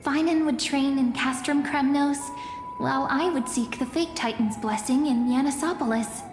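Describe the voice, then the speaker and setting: An actress playing a gentle, serene young oracle card reader in a video game, poetic and deliberate. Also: female voice, high voice, natural voice. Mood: warm, earnest. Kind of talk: scripted game voice acting. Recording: echoing hall reverb, faint music.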